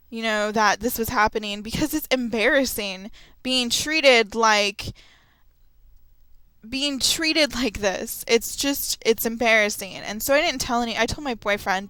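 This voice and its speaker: A woman in her twenties speaking casually and unscripted to viewers, in an earnest, emphatic, halting way. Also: on the high side, fairly bright tone, smooth, dry recording. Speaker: gamer, video maker. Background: background music.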